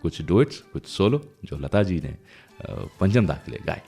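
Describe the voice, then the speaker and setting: A man with a deep voice hosts a radio show, swinging expressively through his sentences. He speaks conversationally, like someone sharing fond old memories, and pauses between thoughts. Music is heard along with his voice. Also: expressive, vocal fry, dark tone, slightly rough. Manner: nostalgic storytelling. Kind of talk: conversational radio presenting with anecdotes.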